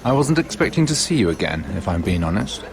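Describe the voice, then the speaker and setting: Sardonic court nobleman, deep, expressive intonation, smooth, wry, fantasy game, music behind. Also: fast talker. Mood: amused, teasing. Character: wry, worldly lord and merchant at a fantasy court. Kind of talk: fantasy video game acting.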